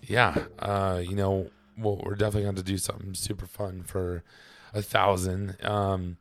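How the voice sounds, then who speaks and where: A man co-hosting a podcast in casual chat, his voice raspy and hoarse from laryngitis, talking haltingly with many ums and trailing off quietly. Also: sits low, flat delivery, slight background noise. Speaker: podcast co-host. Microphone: podcast microphone.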